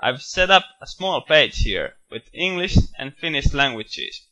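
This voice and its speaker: A man walking through a software screencast, explaining each step in a steady, matter-of-fact way with bits of enthusiasm. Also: medium pitch, measured pace, low-quality recording. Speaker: software tutorial presenter. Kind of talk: screencast walkthrough narration.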